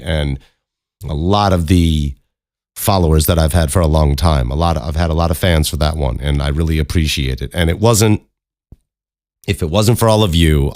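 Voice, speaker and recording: A man's voice: extremely low pitch, no echo. A man hosting a solo podcast in a casual, rambling, informal way, close to the microphone. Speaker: podcast host.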